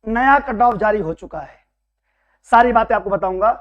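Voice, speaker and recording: A man who speaks with a Madhya Pradesh Hindi accent and has a hoarse, worn throat, giving students an excited, reassuring result update as their coaching teacher. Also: sits high, normal speed, lo-fi sound. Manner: excited, reassuring, repeats phrases. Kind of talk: casual direct-to-camera update.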